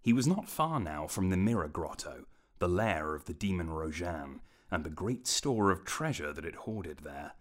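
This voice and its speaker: A man narrating a scripted fantasy story in a measured, literary storytelling manner. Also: sits low, very animated. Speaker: audiobook narrator.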